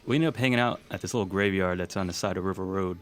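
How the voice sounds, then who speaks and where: A man's voice: deep voice, racing, slight background noise, natural voice. A man playing an easygoing, plain-spoken young guy in a naturalistic audio drama, talking casually. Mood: confiding. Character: easygoing young man confiding past events.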